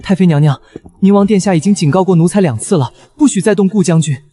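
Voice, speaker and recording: A man's voice: high-pitched, fast talker, dark tone. A man playing an anxious, servile palace servant who speaks respectfully to a superior in a costume drama. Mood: nervous pleading.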